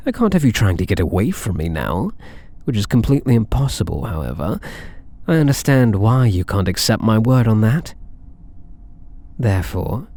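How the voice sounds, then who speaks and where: Slow, low-voiced British catboy thief, acted by a man. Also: vocal fry, a little mellow, heavily acted. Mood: possessive, gentle. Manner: slow, coaxing, teasing, theatrical endearments. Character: possessive, smug, doting catboy cat burglar. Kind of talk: ASMR roleplay, intimate scripted monologue.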